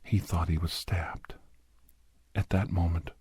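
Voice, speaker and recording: A man playing a captive doctor who grimly recounts his escape, half-whispered in a breathy ASMR horror narration with halting, deliberate phrases. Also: deep voice, little pitch movement, darkish tone, no room sound, studio quality, heavily acted. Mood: grim suspense. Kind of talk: first-person scripted horror narration, ASMR.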